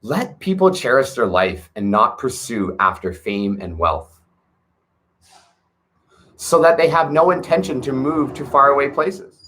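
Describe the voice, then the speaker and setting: A man reading a classic text aloud on his front porch, earnest and gentle, with spiritual asides. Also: mid-range voice, low-quality recording.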